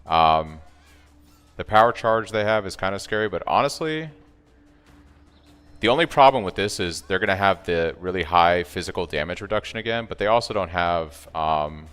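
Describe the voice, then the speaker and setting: A man with a very deep, smooth and soothing voice streams a game in relaxed, casual talk. He thinks aloud and answers his chat as he plays. His voice carries a long reverb, with faint music underneath. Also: normal speed. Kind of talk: casual live-stream commentary.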